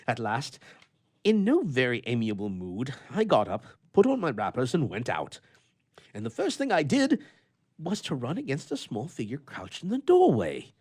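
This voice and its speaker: A man playing a grumpy, flustered bachelor with a soft heart, telling his tale with theatrical bluster and stammering in old-fashioned storybook prose. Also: mid-range voice, wildly swooping pitch, moderate pace, slightly rough. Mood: irritated, grumbling.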